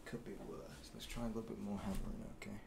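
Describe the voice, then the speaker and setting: Young guy doing asmr mechanic roleplay, lowish soft mumbly voice, halting, noisy recording w clatter. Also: little pitch movement, gravelly. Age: 20s. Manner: murmured, unhurried, halting, drifting into whispers. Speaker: ASMR roleplayer playing a robot repair mechanic.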